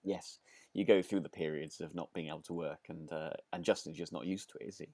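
A man co-hosting a podcast in casual conversation, phrasing things in a gentle, tentative way. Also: rumbling low, fairly even intonation, fairly quick, no room sound. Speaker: podcast host; actor. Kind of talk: relaxed casual chat about a radio drama.

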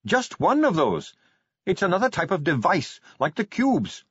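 A man's voice: sits high, huge pitch swings, a little slow, a bit bright, husky, no room sound, voice pushed up, full character voice. A man voicing an earnest, polite young captain of the watch who explains things eagerly. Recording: slightly dull, dry studio read.

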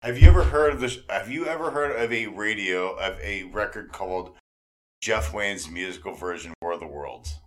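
Gushing, excitable man chatting casually. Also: fairly low pitch, normal speed. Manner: gushing, effusive fan enthusiasm; slangy, repeats himself. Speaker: podcast host interviewing a guest.

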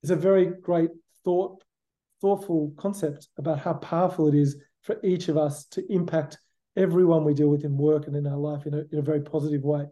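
Bright Australian man, reflective interview guest. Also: fairly high pitch, flat delivery, brisk. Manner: reflective, frequent "you know" and "look". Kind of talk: conversational interview answers.

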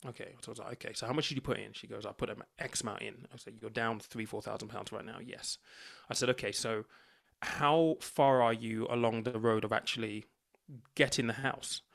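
A man's voice: on the low side, steady tone, a little fast, gravelly, fairly bright tone. A man based in the UK, a financial coach and podcast guest, telling stories earnestly and acting out his own conversations. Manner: earnest, explanatory, acts out dialogue. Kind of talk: conversational storytelling.

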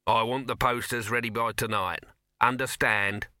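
A man voicing a pushy, excitable, slick film promoter in an audiobook, gushing, bossy and dismissive. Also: medium pitch, normal speed, no room sound, clean studio sound. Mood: warning.

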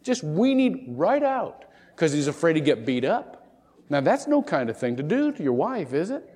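A man's voice: on the high side, sing-song, moderate pace, a little mellow, big-room sound. A man preaching a sermon as pastor, telling stories in a folksy, joking way and briefly acting out the voices of the people he talks about. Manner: folksy, humorous storytelling with acted-out voices.

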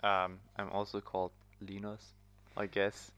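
Young german guy, low voice but bright and crisp, relaxed podcast chat. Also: measured pace, lo-fi sound, a little hiss or hum. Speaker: podcast guest.

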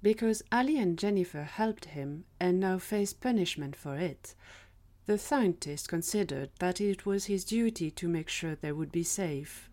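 A woman's voice: fairly low pitch, lively intonation, a little slow, dark tone. A woman reading scripted third-person narration for an audio drama.

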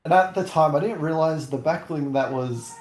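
A man's voice: a little high, normal speed, reverberant, a little hiss or hum. A young man telling stories in a casual podcast chat, giggling and making fun of himself. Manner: giggly, self-mocking storyteller. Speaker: podcast co-host.

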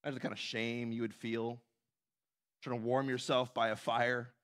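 Man, pastor, sermon, conversational, animated, medium pitch. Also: moderate pace. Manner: animated, acts out quotes with raised voice; conversational, frequent "right?".

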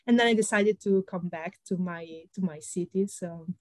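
A woman in her thirties with an Italian accent, answering interview questions thoughtfully with frequent pauses. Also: on the low side, no room sound, studio quality. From Italy. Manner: thoughtful, many "uh" pauses, light laughs. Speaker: guest, pre-sales consultant.

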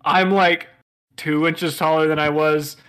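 A man's voice: fairly high pitch, flat delivery, a little slow, a bit bright. A young man co-hosting a casual podcast, teasing his friends with crude jokes.

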